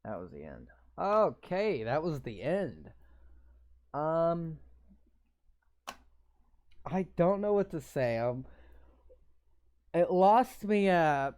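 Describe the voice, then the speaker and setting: A man's voice: a little high, very animated, drawn-out words, long pauses, a little mellow, dry recording. A man reading a visual novel aloud, adding casual asides and stumbling over words. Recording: game music playing under voice.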